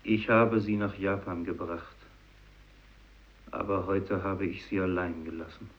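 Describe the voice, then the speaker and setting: An actor playing a brooding, guilt-laden fisherman, speaking solemnly and deliberately in a 1950s German radio drama. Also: low voice, steady tone. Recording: dull old radio recording.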